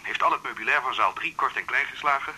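An actor playing a curt, indignant clinic official speaking formally on the telephone in a radio drama. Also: male voice, medium pitch, fast talker, bright, forceful tone, husky, poor audio. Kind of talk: 1980s Dutch radio drama acting.

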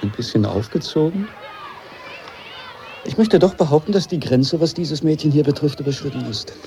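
Low-key, dark-voiced concerned colleague. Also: male voice, lively intonation, normal speed, a little hiss or hum. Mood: firm. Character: concerned, persistent school colleague challenging a teacher. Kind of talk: naturalistic radio play dialogue.

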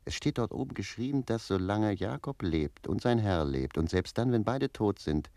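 Talkative manservant, cheeky, argumentative, deep, very animated, dry studio sound, radio drama. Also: male voice, moderate pace, no echo. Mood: defiant. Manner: chatty, argumentative. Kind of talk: German radio play acting.

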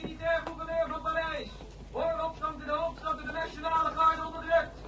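An actor playing a street crier proclaiming news in a radio drama. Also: male voice, extremely high pitch, monotone, fairly quick, pushed and bright, slight background noise. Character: male street crier loudly announcing political news.

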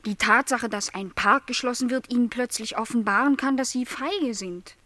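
High-voiced, earnest young housemaid. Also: female voice, normal speed, pushed and bright, dry recording. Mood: gently insistent. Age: young adult. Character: young lonely housemaid, earnest, shy, gently insistent. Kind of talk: literary radio play dialogue.

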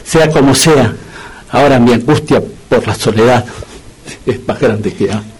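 An actor playing a lonely, melodramatic, grumbling man in a comedic radio play, with a husky Buenos Aires accent and slangy complaints. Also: medium pitch, unhurried, poor audio. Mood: aggrieved. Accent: Buenos Aires Argentine. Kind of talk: comedic radio drama acting.

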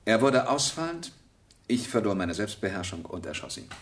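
An agitated crime suspect in a German radio play. He is curt and defensive, with a lowish voice that swings widely in pitch, heard a little way from the mic. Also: big pitch swings, moderate pace, fairly bright tone, far from the mic. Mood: irritated, defensive. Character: agitated younger male lover confessing to a killing.

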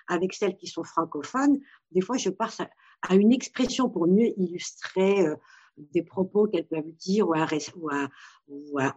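Husky-voiced woman, halting and thoughtful. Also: fairly low pitch, darkish tone. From Morocco. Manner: thoughtful, halting with many restarts. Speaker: Gestalt therapist. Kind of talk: reflective interview answers. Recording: dull lo-fi sound.

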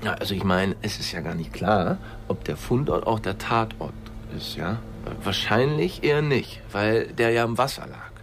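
An actor playing an ordinary angler giving a rambling, hesitant, colloquial witness account in a radio crime drama. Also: male voice, fairly low pitch, expressive, moderate pace, few pauses, lightly acted. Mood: casual, rambling. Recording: clearly noisy ambient background.

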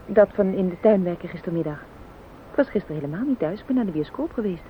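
An actress playing a distraught, timid wife in a radio play, stammering and pleading in a Netherlands Dutch accent. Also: female voice, expressive, fast, slight background noise, lightly acted. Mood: puzzled, timid. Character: distraught, timid, apologetic wife of accused man. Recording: dull, rumbly old radio play recording.

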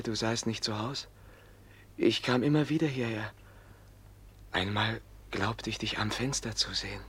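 A gentle, anxious young prince in an old radio drama, earnest and pleading. His voice is husky and unhurried. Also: lowish voice, heavily acted. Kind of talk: 1950s radio drama acting.

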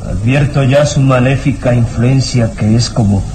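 An actor playing a gaunt, nervous, wickedly gleeful villain in an old radio serial melodrama, with a gloating, sinister manner. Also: male voice, medium pitch, moderate pace, darkish tone, a little hiss or hum, close to an everyday voice, low-quality recording. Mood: ominous dread. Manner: gloating, sinister, breaks into cackling laughter. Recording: radio drama with background music. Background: dramatic music.

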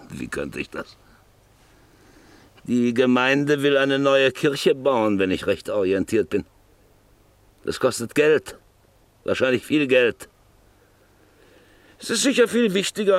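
An actor playing a hard, scheming landowner in a German radio play, brusque, sardonic and persuasive. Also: male voice, mid-range voice, no room sound, close to an everyday voice. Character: hard, scheming landowner and father, scornful businessman.

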